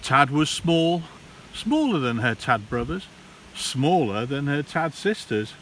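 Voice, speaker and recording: A man reads a storybook to children in a slow, theatrical voice that swings widely in pitch. He pauses for drama like a practised primary teacher. The recording is distant from the mic, with some hiss. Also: big pitch swings, a bit bright. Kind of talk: expressive children's storybook read-aloud. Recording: distant mic, hissy background noise.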